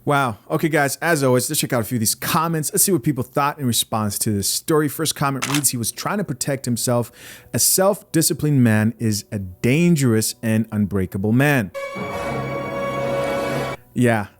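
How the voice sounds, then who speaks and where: A man's voice: medium pitch, normal speed, soft background music. A young man from Zambia hosting a podcast, giving blunt, opinionated commentary straight to his viewers. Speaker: podcast host reacting to clips.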